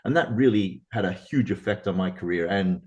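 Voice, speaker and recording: A man with a fairly low voice talks earnestly about working as a chef. He stumbles and repeats words, then asks "right?" to check in. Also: brisk, darkish tone, muffled recording. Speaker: executive chef. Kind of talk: casual panel talk.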